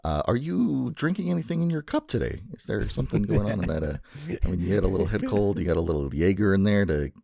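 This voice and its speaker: A man's voice: lowish voice, sing-song, quick pace, creaky, a crowd in the background. A man hosting a podcast in casual chat, playfully teasing and swearing freely. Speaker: podcast host.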